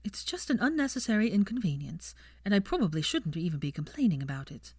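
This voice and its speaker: A woman's voice: medium pitch, sing-song, fast, a little mellow, slightly rough, no echo, clean studio sound. A woman voicing an earnest, bookish young witch in an audiobook, with an airy, polite manner. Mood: mildly exasperated. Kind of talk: audiobook character voice.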